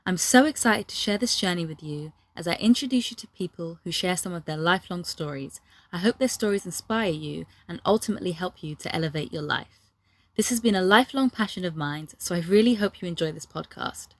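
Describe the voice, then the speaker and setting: A woman with a voice a little on the low side hosts a podcast. She presents and asks questions in an interview style. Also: lively intonation, a little fast. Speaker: podcast host.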